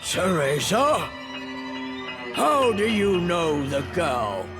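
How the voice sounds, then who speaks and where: A proud little demon stuck inside a stuffed cat, as a storyteller plays him, barking and defiant in a storybook game. The delivery swings widely in pitch and drags words out slowly, with music behind it. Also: big pitch swings, exaggerated voice. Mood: irritated, desperate. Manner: gruff barking, defiant, grudging. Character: prideful, desperate male demon trapped in stuffed cat. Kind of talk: video game storybook character voice.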